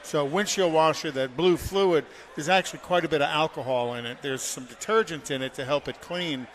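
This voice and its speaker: Male car expert, slightly high pitch, plain-spoken, informal explaining, faint background noise. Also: a little fast. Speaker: car care segment expert.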